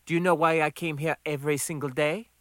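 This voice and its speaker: A man's voice: a little high, steady tone, fast talker, fairly bright tone, no echo, clean studio sound, voice pushed up, natural voice. A man voicing a confident, needling woman in an audiobook, with a firm, teasing and insistent delivery. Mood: challenging. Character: confident, needling adult woman, longtime diner friend.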